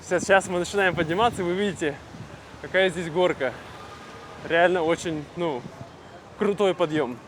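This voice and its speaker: A man from Russia vlogging casually to camera, speaking simply and clearly for language learners with easy fillers. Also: high-pitched, normal speed, pauses often, a little hiss or hum. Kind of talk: casual vlog talk to camera.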